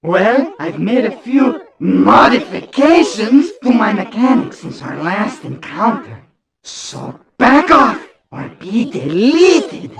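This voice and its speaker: An actor playing a taunting, sneering cyborg henchman in a video game, deliberate and threatening. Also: high-pitched, sing-song, creaky, exaggerated voice. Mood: menacing. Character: taunting male cyborg henchman, menacing mad engineer. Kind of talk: video game cutscene villain acting.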